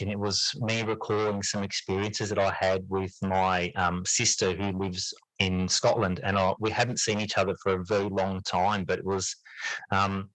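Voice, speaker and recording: Low-voiced man, reflective interview guest. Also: a bit bright, no echo, poor audio. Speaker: interview guest, teacher and writer. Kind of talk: reflective conversational interview answers.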